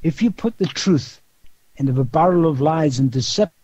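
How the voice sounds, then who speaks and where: A man's voice: a little high, animated, normal speed, dark tone, no echo, dull audio. An older man with a Geordie accent, a guest in an interview, talking in a rambling way with repeated words and rhetorical questions. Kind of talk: casual phone-style interview talk.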